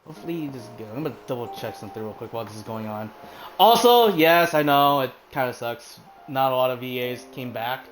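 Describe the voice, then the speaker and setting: A man's voice: mid-range voice, lively intonation, fairly quick, low-quality recording. Casual male streamer chatting over gameplay, filler-heavy and loose, with game music faint behind. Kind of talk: casual commentary while playing a game. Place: hot room at home with a fan running.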